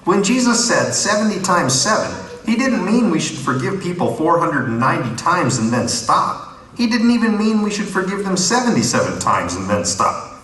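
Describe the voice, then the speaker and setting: A man playing a cheerful, patient quiz-show host in a scripted puppet comedy, explaining things in an animated way. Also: sits high, normal speed. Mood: patient teaching. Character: Herbert, cheerful patient male Bible-quiz host, the sensible one. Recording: echoey, distant playback of prerecorded CD.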